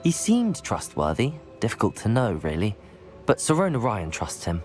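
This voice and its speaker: A man's voice: on the low side, wildly swooping pitch, a little slow, a little mellow. An actor playing an earnest, polite young male wizard student in a video game cutscene. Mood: reporting, thoughtful. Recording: music under the voice. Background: music.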